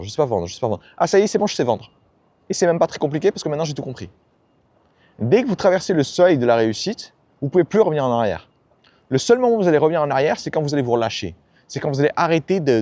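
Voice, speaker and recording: A man's voice: mid-range voice, mellow. A man giving a blunt, slangy motivational talk about ambition and failure, often acting out the people he quotes. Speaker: self-help YouTuber. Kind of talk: casual motivational monologue.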